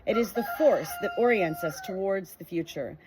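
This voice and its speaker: An earnest woman reads a faith-based book aloud at an unhurried pace, pausing now and then to share her own reflections. Her voice sits in a middling register, with a faint rooster crowing in the background. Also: mid-range voice, animated, background noise. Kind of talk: reading a nonfiction book aloud with personal reflection.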